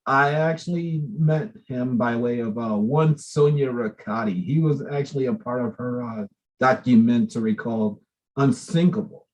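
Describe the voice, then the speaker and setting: A man's voice: mid-range voice, moderate pace, darkish tone, dull audio. A man hosting an interview show, effusive and chatty as he welcomes his guest. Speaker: host.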